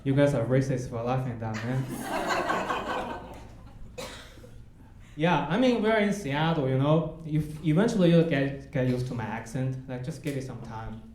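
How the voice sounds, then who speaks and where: A young man from China with a Chinese accent doing a self-mocking stand-up set on a comedy club stage. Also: mid-range voice. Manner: deadpan, self-mocking, with pauses for laughs. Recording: echoey club with loud crowd noise. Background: audience laughter.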